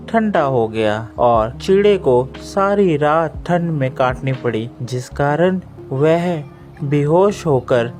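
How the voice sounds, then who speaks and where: A narrator reading a children's cartoon story in a measured way. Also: mid-range voice, a little mellow.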